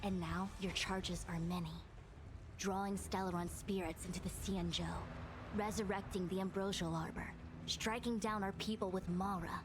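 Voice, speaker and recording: An actress playing a stern, righteous female official in a video game, speaking formally and with measured authority. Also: medium pitch, fairly even intonation, background noise, natural voice. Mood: stern accusation. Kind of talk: dramatic video game voice acting. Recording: game dialogue under loud music.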